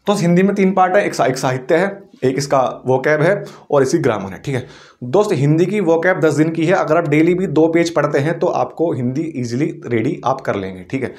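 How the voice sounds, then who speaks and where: Higher-pitched man, coaching teacher. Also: darkish tone. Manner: explanatory, often checks in with the listener. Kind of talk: informal exam-preparation lecture.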